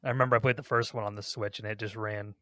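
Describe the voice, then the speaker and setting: A man's voice: lowish voice, level tone, racing, pushed and bright, no echo, studio quality. A man hosting a gaming podcast, talking casually in a rambling, conversational way. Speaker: podcast host.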